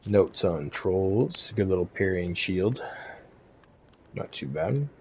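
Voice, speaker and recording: Deep, gravelly, laid-back man. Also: expressive, slow pace, a little mellow, a little hiss or hum. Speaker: gaming guide streamer. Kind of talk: casual video game walkthrough commentary. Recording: faint game ambience behind voice. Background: faint game ambience.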